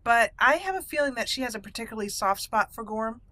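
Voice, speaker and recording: A woman's voice: fairly high pitch, lively intonation, fast talker, bright, forceful tone, no echo. A woman hosting a roleplay game walkthrough in a chatty, explanatory way with playful asides. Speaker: gaming channel host. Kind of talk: casual roleplay game commentary.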